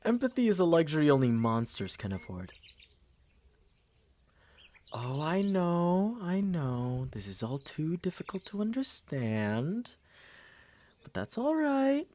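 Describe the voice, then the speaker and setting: A man's voice: a little high, slow, long pauses. Man acting a smug, swooping fantasy prince. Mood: gloating, patronizing. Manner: smooth, pompous, theatrically expressive. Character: smug, condescending young prince courting a princess. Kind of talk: ASMR fantasy audio roleplay.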